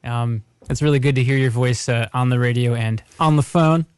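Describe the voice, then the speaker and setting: A man speaks as a radio host. His delivery is casual and conversational, like someone presenting a relaxed tribute show. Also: mid-range voice, moderate pace, smooth. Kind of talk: casual tribute show talk with a phone guest.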